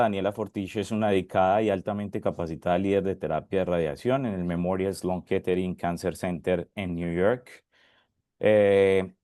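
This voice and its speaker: A man's voice: deep voice, no echo, studio quality. A man hosting an online session, formally reading out the speakers' introductions, with occasional slips. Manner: reads guest bios at a steady formal pace, with small slips. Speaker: session host and moderator.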